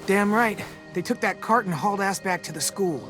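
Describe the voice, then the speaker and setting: Man acting sentimental teen boy survivor, higher-pitched, casual, heartfelt, video game drama, music underneath. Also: normal speed, close to an everyday voice. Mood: relieved, tender.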